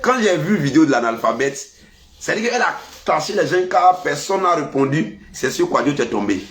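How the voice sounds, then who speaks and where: A man with an Ivorian French accent and a cold, talking casually to his followers in a lively, scolding way. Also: brisk. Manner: lively, scolding, animated pitch jumps. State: has a cold. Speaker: vlogger. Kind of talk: casual monologue to camera.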